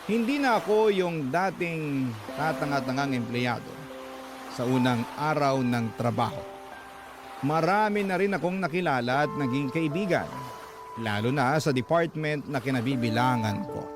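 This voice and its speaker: A man's voice: mid-range voice, normal speed. A man working as a radio storyteller, reading out a first-person love letter as scripted narration. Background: music.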